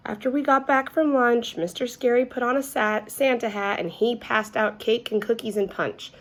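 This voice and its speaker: A woman's voice: a little high, steady tone, moderate pace, fairly bright tone. A woman reading a children's story aloud expressively, as if to young listeners. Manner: addresses young listeners with questions.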